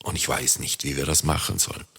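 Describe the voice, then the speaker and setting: A man's voice: extremely low pitch, flat delivery, fairly quick, fairly bright tone, slightly rough, exaggerated voice. A man reading a children's storybook, voicing a timid, anxious hare. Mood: worried. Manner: anxious, hesitant. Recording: long reverb.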